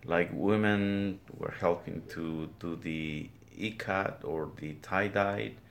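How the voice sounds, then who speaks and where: An older man with a Guatemalan Spanish accent recounts his life as a weaver in an interview, speaking plainly and haltingly in his studio. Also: deep voice, fairly even intonation. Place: weaving studio, indoors.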